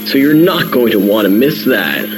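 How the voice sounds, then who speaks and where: A man's voice: mid-range voice, sing-song, normal speed, over music, natural voice. A man playing a genial morning television host, reading scripted news in presenter style. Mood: upbeat, informative. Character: genial male American breakfast television host.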